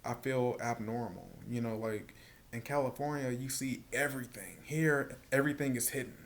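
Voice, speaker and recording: Guy vlogging, soft voice, stutters a lot, lots of you know like, average pitch. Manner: stuttering, halting with many repeated words and fillers. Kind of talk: casual personal vlog monologue.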